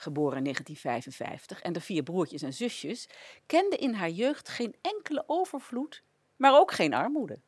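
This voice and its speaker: A woman's voice: medium pitch, sing-song, normal speed, a little mellow, breathy edge, no room sound. A woman giving scripted narration in a radio play, introducing people and their stories.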